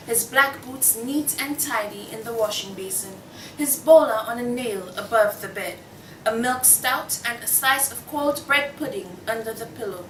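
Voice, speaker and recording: A woman's voice: fairly high pitch, lightly acted. A young woman playing the narrator of a sleeping town, reciting poetically in a table read of a radio play. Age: young adult. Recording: echoey noisy room, distant mic.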